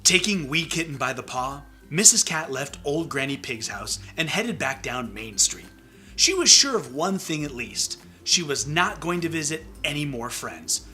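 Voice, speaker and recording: Male kids' storyteller and host: lively, varied pitch, bright tone, faint music underneath. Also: moderate pace. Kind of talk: animated children's story reading with comic banter.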